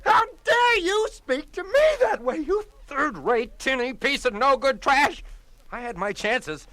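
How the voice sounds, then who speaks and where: A man's voice: very high voice, lively intonation, a little slow, bright, forceful tone, no room sound, exaggerated voice. A man voicing a pompous male stereo speaker in a comedy record sketch, huffy and theatrical. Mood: indignant.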